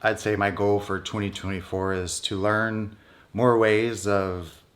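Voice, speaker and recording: A man's voice: on the low side, little pitch movement, unhurried, a bit bright. A North American man vlogging in a casual, candid and conversational way about his own life. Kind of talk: casual personal vlog talk.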